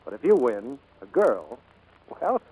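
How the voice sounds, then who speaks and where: An actor playing a kindly, earnest plane builder in 1940s radio drama, gentle and a little hesitant. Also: male voice, mid-range voice, sing-song, a little slow, breathy edge, full character voice, poor audio. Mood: tender, persuasive.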